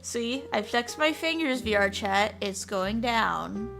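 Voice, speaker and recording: A woman's voice: fairly high pitch, animated, takes it slowly, gravelly, music in the background. A woman chatting casually on a livestream in a blunt, exasperated way with some swearing. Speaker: video creator and streamer. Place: at a desk, in a VR chat.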